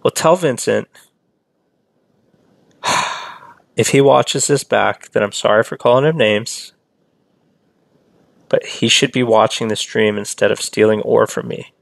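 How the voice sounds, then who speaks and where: Gravelly-voiced man chatting on stream. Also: fairly low pitch, unhurried, long pauses, dry recording. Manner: chatty, reads and answers chat, rambling asides. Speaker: game streamer. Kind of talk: casual live-stream commentary.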